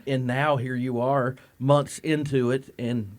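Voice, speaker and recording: A high school principal talking on a local radio show. He is upbeat and chatty, and he encourages his student guests warmly while keeping the conversation easy and friendly. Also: level tone, unhurried. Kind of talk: casual radio talk, interviewing students. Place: radio studio.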